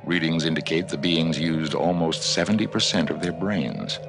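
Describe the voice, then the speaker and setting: An actor playing a logical, unemotional alien science officer in a 1970s cartoon, formal and deadpan. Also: male voice, very deep voice, moderate pace. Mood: detached. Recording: old cartoon soundtrack with loud music. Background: loud dramatic score.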